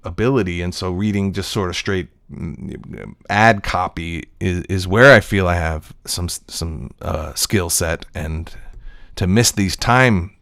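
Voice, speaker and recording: Low-voiced man musing at his desk. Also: slow, creaky, fairly bright tone, no room sound, studio quality. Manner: digressive, reflective storytelling. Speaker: voice-over actor. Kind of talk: casual solo monologue.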